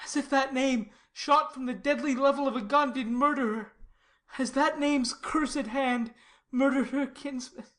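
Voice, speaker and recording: Shakespeare audio drama: lovesick male youth voiced by a woman, high-pitched, distraught, theatrically anguished. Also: unhurried. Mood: frantic grief. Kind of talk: classical stage tragedy in audio.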